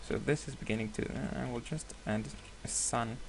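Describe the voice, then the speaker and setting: A man whose first language is Hebrew, giving a screen-recorded software tutorial with many hesitations and self-corrections. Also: mid-range voice, normal speed, creaky, slight background noise. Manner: apologetic, laughs at himself.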